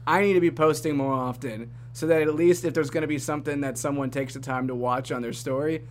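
A man hosting a podcast in a casual, upbeat way, chatty and quick to laugh. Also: fairly high pitch, steady tone, a little hiss or hum. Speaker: podcast host. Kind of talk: casual chat.

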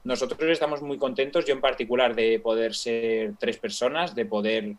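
A man's voice: mid-range voice, steady tone, normal speed. Young Spanish man, hesitant and friendly. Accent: Peninsular Spanish. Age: young adult. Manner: hesitant, many fillers and restarts, friendly. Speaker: guest YouTuber. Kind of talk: casual live-stream chat.

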